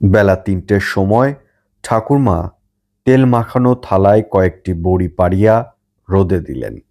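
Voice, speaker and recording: A man with a fairly low voice reads literary fiction as an audiobook narrator. His delivery is very slow and scripted. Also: animated, dark tone.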